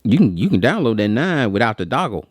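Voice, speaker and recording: A man's voice: mid-range voice, big pitch swings, fast, dry recording. An American man giving casual, unscripted opinions as a video host, blunt and colloquial, repeating phrases for emphasis. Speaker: video host and print equipment reviewer.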